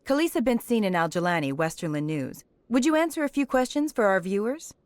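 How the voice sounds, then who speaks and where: A woman's voice: medium pitch, sing-song, brisk, dry recording, natural voice. A woman playing a probing television reporter in a science fiction video game, polished and insinuating. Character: probing, pointed female television news reporter. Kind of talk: cinematic game voice acting.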